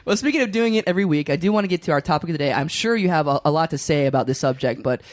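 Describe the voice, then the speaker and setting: A man's voice: a little high, lively intonation, very fast, no room sound. A man hosting a comedy podcast, joking around and laughing often. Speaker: podcast host. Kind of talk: casual comedy chat.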